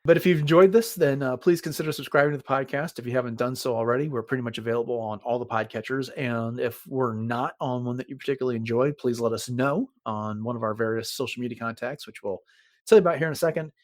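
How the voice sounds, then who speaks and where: A man hosting a podcast in casual conversation, sometimes pausing mid-sentence as he explains things. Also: mid-range voice, no echo, studio quality. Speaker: podcast host.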